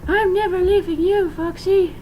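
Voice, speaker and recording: Casting: female animatronic fox played by a narrator, high and loud, devoted, fiercely pleading, noisy home-style recording. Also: fairly even intonation, normal speed. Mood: sad, defiant.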